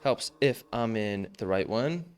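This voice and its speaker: A young man with a smooth, clear voice talks through a vocal mixing tutorial. He is relaxed and slangy, and he jokes about himself between the steps. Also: lowish voice, unhurried. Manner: relaxed, slangy, self-joking. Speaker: music production tutor. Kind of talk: casual screen-recorded mixing tutorial.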